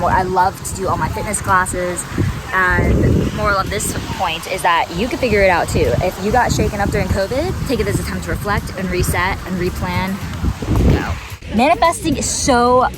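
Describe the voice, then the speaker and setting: A woman's voice: mid-range voice, heavy background noise. A woman in her twenties vlogging in a bubbly, chatty way, often saying "like" and "literally". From Georgia, United States. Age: 20s. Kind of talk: casual chat to camera. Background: music.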